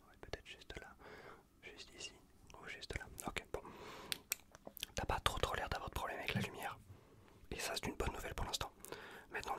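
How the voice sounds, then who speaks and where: Whispering man, close-up ASMR. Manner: hushed, reassuring, repetitive, casual slangy talk. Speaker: ASMR performer playing an untrained medic. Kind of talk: whispered ASMR roleplay of a medical exam. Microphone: close USB condenser microphone.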